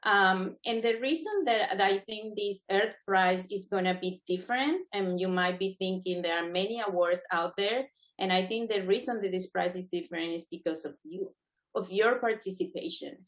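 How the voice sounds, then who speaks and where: A woman's voice: mid-range voice, moderate pace. A middle-aged woman from Venezuela, a native Spanish speaker, giving earnest, encouraging remarks as a guest speaker at a webinar. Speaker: guest speaker, adjudicating panel member. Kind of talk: prepared webinar remarks, semi-spontaneous.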